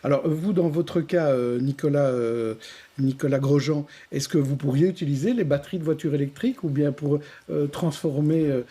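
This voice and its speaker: A man's voice: on the high side, normal speed, mellow, breathy edge. A man hosting a studio talk show, prompting guests with questions and many fillers. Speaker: TV show host.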